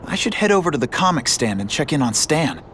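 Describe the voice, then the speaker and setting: A man's voice: on the high side, very animated, moderate pace, background noise. A man voicing a wisecracking young masked superhero in a video game, with a quippy, playful way of talking. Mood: subdued, friendly. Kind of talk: animated video game voice acting. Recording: loud music over the voice. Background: loud music.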